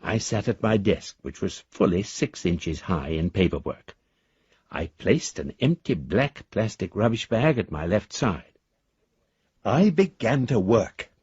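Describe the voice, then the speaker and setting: A man's voice: fairly low pitch, very animated, unhurried, darkish tone, husky, no echo, highs cut off, exaggerated voice. An actor playing an eccentric, grandiose amateur philosopher, reading his letter in pompous, florid phrases for a radio drama. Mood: exalted, self-important.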